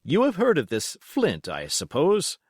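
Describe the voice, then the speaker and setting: Storybook doctor, voiced by a male narrator: genial, composed gentleman, measured and pointed, with animated intonation. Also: fairly high pitch, dry recording, studio quality, voice pushed up, heavily acted. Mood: composed, firm.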